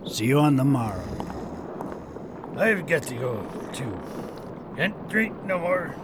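An actor playing a drunken pirate sailor in a radio drama, slurring and drawling. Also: male voice, mid-range voice, big pitch swings, slow. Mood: drunk, weary. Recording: noisy ship ambience behind the voice.

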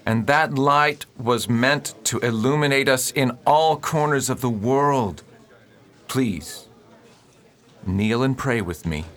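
A man playing a stern, formal missionary reverend in a radio play, speaking with quiet disapproval. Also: medium pitch, takes it slowly. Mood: preaching. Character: stern, prim middle-aged male missionary reverend.

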